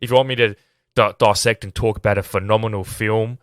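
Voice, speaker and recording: Aussie man doing a casual movie review, bit sick, clean smooth voice, dry close sound, rambles a bit. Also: lowish voice, steady tone, a little fast, a bit bright, no room sound. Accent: Australian. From Australia. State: sick, voice at risk of giving out. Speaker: film podcast host. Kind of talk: casual solo film review.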